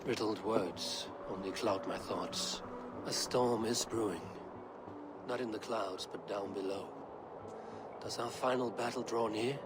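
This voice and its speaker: A man's voice: lowish voice, unhurried, loud music behind the voice, close to an everyday voice. Havi, brooding king of the gods, played by a man. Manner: commanding, brooding. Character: Havi, proud aging male king of the gods. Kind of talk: video game cutscene acting.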